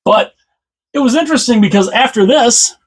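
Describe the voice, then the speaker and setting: A man with a slightly hoarse voice, chatting casually about hockey as a channel host, rambling and repeating himself with enthusiasm. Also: very high-pitched, animated, normal speed. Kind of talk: casual solo commentary to camera.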